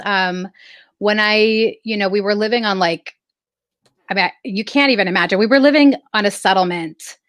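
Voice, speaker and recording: Mid-pitch woman musing slowly, hesitant, lots of ums, dry room. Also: a bit bright, no room sound. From Southern California. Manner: reflective, halting, many fillers. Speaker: guest artist. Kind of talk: casual interview storytelling.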